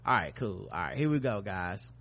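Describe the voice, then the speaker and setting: A man's voice: lowish voice, sing-song, moderate pace, fairly bright tone. A man hosting a podcast in casual, joking banter with informal, profane language. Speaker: podcast host.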